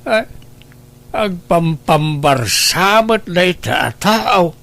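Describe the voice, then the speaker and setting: A man acts as an older father and husband in a radio drama, concerned and careful. His voice is slightly high for a man, and he reasons in a measured way. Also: lively intonation, vocal fry, a bit bright, heavily acted. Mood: cautious.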